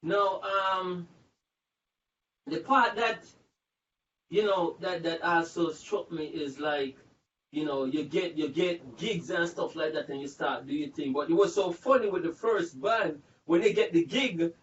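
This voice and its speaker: A man's voice: fairly high pitch, measured pace, fairly bright tone. A male interview host who laughs easily and asks long, rambling questions with patois phrasing. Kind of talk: casual interview. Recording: distant echoey lo-fi with faint room noise.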